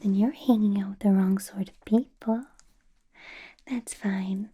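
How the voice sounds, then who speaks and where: A woman playing a doting, possessive female vampire boss in an intimate ASMR roleplay, coaxing and flirting in a breathy whisper. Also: medium pitch, fairly even intonation, measured pace, mellow. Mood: adoring, flirtatious. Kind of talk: ASMR roleplay, personal attention.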